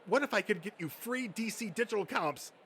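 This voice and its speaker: A man's voice: high voice, animated, a little fast, a bit bright, voice pushed up. A man voicing an obsessive, desperate toy-collecting nerd in a comic dub, pleading dramatically over slight recording noise. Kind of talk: comic dub character acting.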